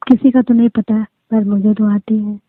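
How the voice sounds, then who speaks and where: A woman's voice: medium pitch, little pitch movement, normal speed, slight background noise. A woman chatting casually and privately as a caller on a phone call. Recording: dry, narrow tinny phone line.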